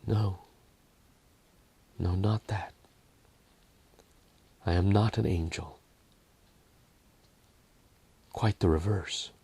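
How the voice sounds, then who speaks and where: A man voicing a humble, overwhelmed young mother in a storybook, with a halting, emotional delivery. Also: low-pitched, vocal fry, dark tone, husky, heavily acted. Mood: sad denial.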